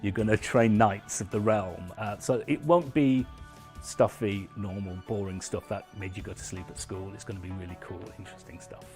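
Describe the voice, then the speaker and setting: Male game developer, casual interview talk, lowish voice, clear music behind. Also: moderate pace.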